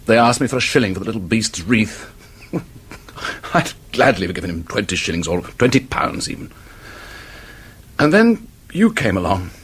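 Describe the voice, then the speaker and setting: A middle-aged English office man confessing his crime in a radio drama. His voice is low and husky. He speaks in halting, stammering public-school phrases, weighed down by remorse. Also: very animated, fairly bright tone, heavily acted. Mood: bitter, grimly glad.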